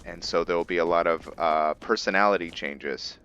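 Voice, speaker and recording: Low, slow-paced man, dull recording. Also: fairly bright tone, clean tone. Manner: musing, speaks as "we". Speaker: gamer narrating a role-play. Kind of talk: casual playthrough commentary.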